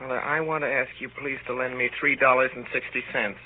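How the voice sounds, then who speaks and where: A man's voice: mid-range voice, level tone, quick pace, slight background noise, close to an everyday voice. An actor playing an earnest, indignant seventeen-year-old boy in 1930s radio drama style, pleading with stiff, overwrought dignity. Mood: wounded, solemn. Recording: tinny, narrow old 1930s radio recording.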